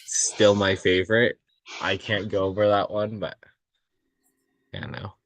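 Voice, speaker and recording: A man's voice: on the low side, flat delivery, unhurried, vocal fry, fairly bright tone, no room sound, low-quality recording. A man chatting casually as a cast member after a table read. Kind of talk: casual chat.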